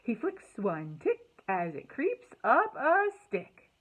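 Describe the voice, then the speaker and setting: A woman hosting a children's storytime, speaking in an expressive, sing-song way to young listeners, in slightly dull, lo-fi sound. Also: fairly high pitch, slow, breathy edge. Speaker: library storytime host. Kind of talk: children's storytime, read-aloud and singalong.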